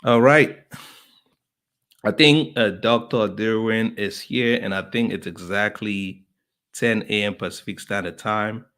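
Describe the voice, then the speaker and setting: Chatty lower-voiced podcast host. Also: male voice, a little slow, fairly bright tone. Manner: chatty, friendly, lots of fillers; greets viewers by name. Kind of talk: casual live-stream welcome.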